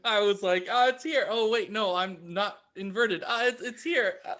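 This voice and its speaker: A man co-hosting a podcast in casual, joking banter, halting and self-deprecating. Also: sits high, pushed and bright. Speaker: podcast co-host. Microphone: remote video call.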